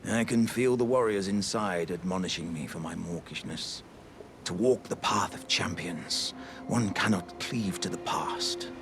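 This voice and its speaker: A man's voice: low-pitched, a little slow, noisy background. A man voicing a big, earnest, jovial living warrior jar in a video game, with theatrical, old-fashioned courteous speech. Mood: wistful, resolute. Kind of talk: video game character acting.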